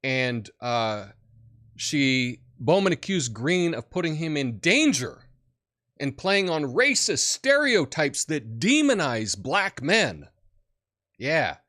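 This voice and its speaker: Man, big booming voice, podcast host, opinionated rambling commentary, slow delivery, lively pitch swings. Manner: opinionated, rambling with many fillers. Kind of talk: casual political commentary.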